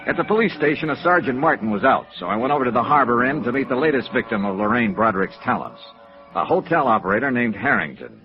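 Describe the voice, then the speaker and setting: An American actor playing a wry, dogged insurance investigator in 1950s radio noir, clipped and matter-of-fact. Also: male voice, mid-range voice, lively intonation, fast talker, close to an everyday voice. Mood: dry, businesslike. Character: wry, dogged male freelance insurance investigator, private-eye type. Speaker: lead actor and narrator. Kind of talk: 1950s radio noir acting and first-person narration. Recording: dull vintage radio recording with music.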